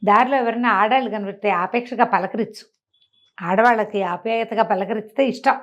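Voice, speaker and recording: A woman's voice: medium pitch, fairly quick, lightly acted. A kindly illusionist trickster of village folklore, voiced by a woman storyteller. He counsels in a genial, familiar way, on a slightly lo-fi recording.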